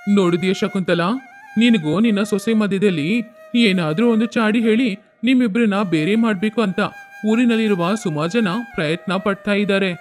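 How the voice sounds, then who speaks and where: Guy doing middle aged village husband, kindly n coaxing, highish voice, music behind. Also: moderate pace, lightly acted. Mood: approving. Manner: coaxing, advising.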